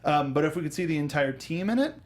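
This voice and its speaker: A man's voice: fairly high pitch, animated, quick pace. A man hosting a casual film and game discussion, talking with a fan's enthusiasm and often restarting sentences mid-thought. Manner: enthusiastic fan talk. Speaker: host of a film review show. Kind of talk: casual panel discussion of films and games.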